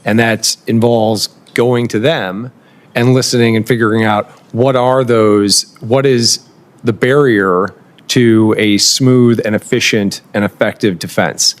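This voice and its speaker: A fairly low-voiced man gives formal testimony as a nominee. His delivery is measured, built from careful policy jargon. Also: steady tone.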